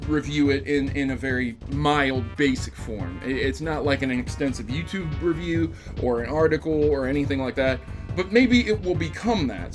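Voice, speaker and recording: A man hosting a video game news show, giving casual, emphatic commentary with rambling asides and addressing his audience directly. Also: fairly high pitch. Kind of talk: casual scripted-topic news commentary to camera.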